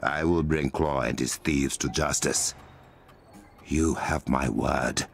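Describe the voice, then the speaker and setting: An actor playing a stern, regal warrior king in a video game, commanding, formal and measured. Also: rumbling low, fairly even intonation, gravelly, slight background noise, heavily acted. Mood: resolute. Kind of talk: video game cutscene acting.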